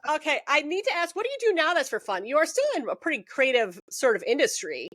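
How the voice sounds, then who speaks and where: A woman's voice: high voice, big pitch swings, fast talker, fairly bright tone, no room sound. Woman interview host, easygoing and talkative with frequent laughs, recorded dry and close. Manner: chatty, laughs often, rambling. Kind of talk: casual interview conversation.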